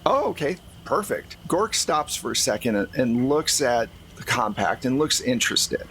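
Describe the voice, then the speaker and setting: A man's voice: mid-range voice, very animated, moderate pace, a bit bright, slight background noise. A man speaking as game master, narrating a tabletop game in a casual way.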